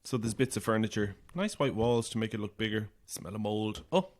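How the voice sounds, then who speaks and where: An actress playing an anxious, broke young Irish woman in an audio horror drama, talking informally and hesitantly in a husky voice. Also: very deep voice, lively intonation, a little fast, darkish tone. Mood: casual.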